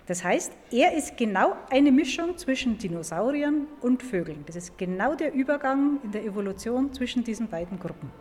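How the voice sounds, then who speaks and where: High, lively woman explaining in an echoing hall. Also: normal speed, few pauses. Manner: clear, didactic phrasing. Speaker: museum paleontologist, expert guest. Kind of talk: explaining to young listeners. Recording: echoing hall, music behind.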